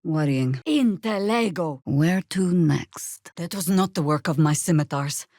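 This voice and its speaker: A woman playing a wry, battle-worn middle-aged druid in a video game, with sardonic, world-weary, deliberate phrasing. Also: low voice, darkish tone, dry recording, studio quality. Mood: fierce incantation. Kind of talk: video game character acting.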